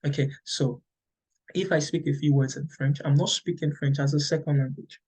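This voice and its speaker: A man's voice: fairly high pitch, steady tone, normal speed, a little mellow, slightly rough. A middle-aged Nigerian man, a native Yoruba speaker, explains exams to fellow teachers, checking in with "okay" and often restarting. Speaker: English teacher. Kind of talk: explaining to teachers, conversational lecture.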